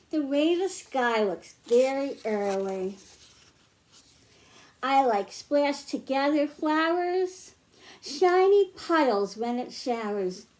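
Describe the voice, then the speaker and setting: American female children's librarian, high register, slow delivery, child-directed read-aloud and simple teaching. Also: darkish tone, rough recording. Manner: simple, child-directed phrasing with questions to listeners. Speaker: children's librarian hosting storytime.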